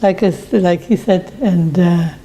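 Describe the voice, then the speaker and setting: A woman's voice: on the low side, a little slow, dark tone, low-quality recording. An older woman from Germany, a winery owner, telling in a plain, storytelling way how she came to America. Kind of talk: oral history interview.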